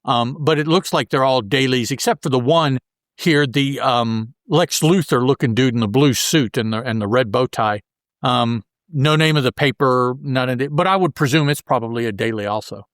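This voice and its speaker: A man's voice: medium pitch, normal speed, fairly bright tone, no echo, clean studio sound. A man co-hosting a podcast, talking through comic books in a casual, rambling, conversational way. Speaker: podcast co-host. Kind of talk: casual comic book discussion.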